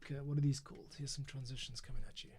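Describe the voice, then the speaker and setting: A man's voice: medium pitch, level tone, racing, a little mellow. A man casually thinking aloud in fragments as he works as an audio editor. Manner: muttering to self in fragments. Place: at a computer workstation. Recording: faint noise, keyboard and mouse clicks.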